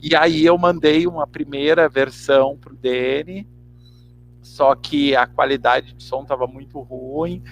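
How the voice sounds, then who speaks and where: Gravelly, halting Brazilian man. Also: on the high side, fairly even intonation, faint music behind. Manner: halting, searching for words, repeats words. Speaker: actor and teacher interviewed. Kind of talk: reflective interview answer.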